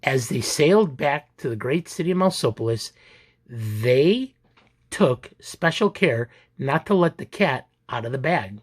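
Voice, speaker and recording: A man narrates a children's story in a husky voice. He takes his time, delivering it theatrically and with lively swings in pitch, as if every line belongs to an adventure. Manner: theatrical, deliberate. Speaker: storyteller reading a children's picture book aloud. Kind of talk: expressive dramatic read-aloud narration.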